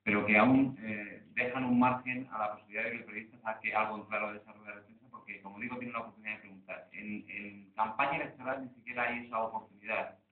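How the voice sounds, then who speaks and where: Male panelist with a lowish voice, measured and hesitant, recorded far off in an echoey hall. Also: fairly even intonation. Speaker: journalist speaking on a panel. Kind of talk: round-table conference talk. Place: conference hall. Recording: echoey hall, far from mic, phone-like lo-fi sound.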